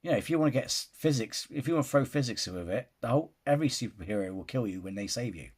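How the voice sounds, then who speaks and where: A man with a husky, breathy voice talks through his opinions in a relaxed, casual vlog. He rambles, circles back on his points and keeps checking in with "you know", always at a middle pitch. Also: fast talker. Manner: rambling, restarts sentences, often says "you know". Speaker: film reaction vlogger.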